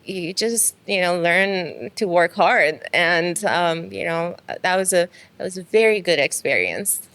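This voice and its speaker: Soft-spoken Iranian-born woman, smooth low voice. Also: expressive, unhurried. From Iran. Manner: soft-spoken, frequent um fillers. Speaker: guest. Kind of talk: casual interview.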